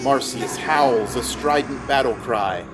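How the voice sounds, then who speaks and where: A man reading scripted fantasy narration in a measured storytelling manner. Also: medium pitch, over music.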